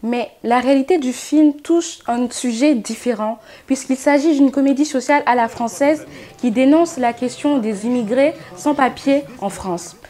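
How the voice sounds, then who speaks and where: A young woman presenting a film review in a student news segment, reading a prepared script in a measured way. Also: sits high, mellow. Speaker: culture columnist.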